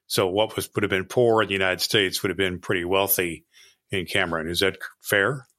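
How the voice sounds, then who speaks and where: A man's voice: deep voice, steady tone, a little fast, sharp tone, no room sound, clean studio sound. A man hosting a podcast interview, summing up the guest's points and asking probing questions. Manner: summarizing, probing. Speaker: podcast host.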